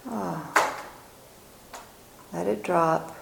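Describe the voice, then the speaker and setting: A woman leading a guided meditation as a teacher, speaking gently and soothingly in short phrases with long pauses. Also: fairly low pitch, creaky, rough recording.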